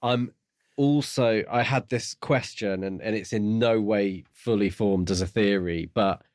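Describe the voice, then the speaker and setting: A man's voice: on the low side, unhurried, darkish tone, no room sound, clean studio sound. A man co-hosting a podcast, musing and thinking aloud in a casual discussion of books. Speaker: podcast co-host.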